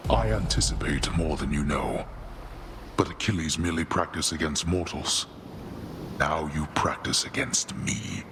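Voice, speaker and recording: A man's voice: rumbling low, unhurried, noisy background. A man voicing a stern, scornful god of the dead in a video game, speaking in formal, condescending phrases. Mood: grudging, stern. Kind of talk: theatrical video game voice acting. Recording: loud music behind the voice.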